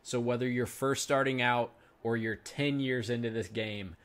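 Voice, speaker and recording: A man's voice: medium pitch, fairly even intonation, normal speed, a bit bright. A man in his twenties giving casual reaction commentary as a finance video host, chatting to his viewers and calling them "guys" often. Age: 20s.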